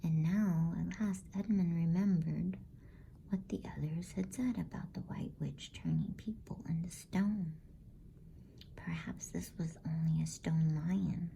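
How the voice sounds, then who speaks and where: Slow whispering woman reading a bedtime story. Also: fairly low pitch, steady tone, gravelly, slight background noise, low-quality recording. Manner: hushed, unhurried, soothing whisper. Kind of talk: ASMR whispered storybook narration.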